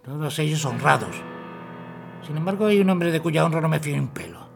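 An actor playing a cold, calculating army colonel in an audio drama, with a formal, measured, menacing delivery and a gravelly voice. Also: male voice, fairly high pitch, animated, music in the background. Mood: coldly menacing.

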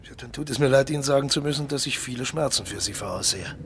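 An actor playing a sly spy colonel in a radio drama, husky and mock-polite as he makes measured threats. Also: medium pitch, background noise, lightly acted. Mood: mock-regretful menace.

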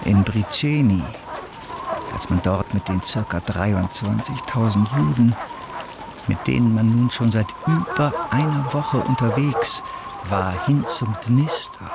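An actor playing a grave, deliberate chronicler of war, narrating in a scripted radio play. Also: male voice, fairly low pitch, creaky, heavy background noise.

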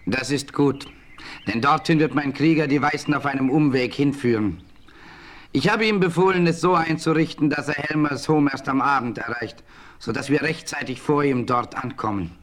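Medium-pitched man, acting a dignified western chief, formal declamation, loyal ally, faint noise, audio drama. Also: normal speed, fairly bright tone, natural voice. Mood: resolute. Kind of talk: German radio play acting.

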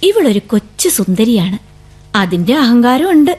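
A woman's voice: a little high, very animated, moderate pace, breathy edge. An earnest young woman lawyer. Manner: gentle and earnest. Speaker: radio drama actress. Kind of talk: radio drama acting. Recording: radio drama with faint music.

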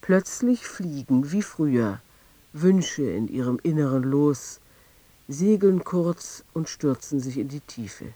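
An actor playing a quiet, detached storyteller, narrating a radio play in a measured, understated way. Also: male voice, fairly high pitch, lively intonation, dry recording. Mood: quiet melancholy.

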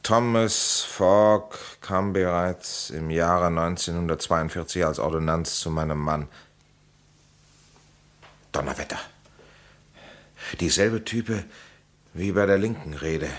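An actor playing an eager, insistent amateur sleuth in a crime radio play, speaking with dramatic emphasis. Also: male voice, low voice, fairly even intonation, slow, long pauses. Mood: intent, discovering. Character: insistent amateur sleuth, a male playwright under suspicion. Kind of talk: vintage German crime radio play acting.

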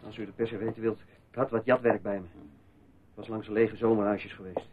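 A man's voice: fairly low pitch, steady tone, moderate pace, close to an everyday voice. Man playing a jittery, mouthy thief, tinny recording. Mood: spooked, low. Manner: sardonic, colloquial, mock-polite. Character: jittery small-time thief, mouthy, superstitious, hard-drinking. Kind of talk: radio play acting. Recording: tinny narrow-band old radio recording, noisy.

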